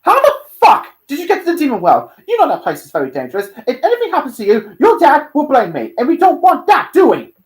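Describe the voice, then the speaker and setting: A woman's voice: on the low side, huge pitch swings, normal speed, dark tone, pitched above the performer's own voice. A woman voicing a theatrical, fussy demon prince, a protective uncle, in a story reading. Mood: scolding.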